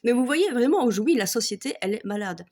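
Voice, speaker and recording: A woman's voice: fairly high pitch, lively intonation, fast, a little mellow. A woman from Belgium with a Belgian French accent, ranting casually and mockingly, swearing often and breaking into laughter. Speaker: vlogger. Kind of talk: casual comic rant to camera.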